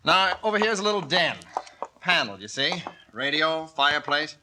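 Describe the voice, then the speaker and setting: An actor playing a folksy, salesy real estate agent in 1940s radio-drama style. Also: male voice, on the high side, sing-song, normal speed, pushed and bright, full character voice.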